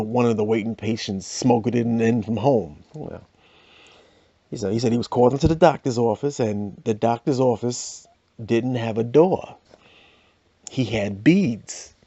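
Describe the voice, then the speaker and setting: A man's voice: mid-range voice, normal speed, dark tone, husky, dry recording, lo-fi sound. Man riffing in a comic monologue. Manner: loose, storytelling with many "you know"s. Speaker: podcast host.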